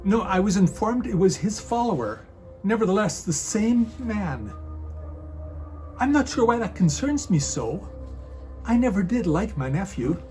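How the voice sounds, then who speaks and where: Man playing high-voiced, cold Roman soldier. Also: big pitch swings, measured pace, mellow, faint music behind. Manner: formal, taunting. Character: cold, calloused Roman soldier devoted to the empire. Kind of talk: dramatic reading.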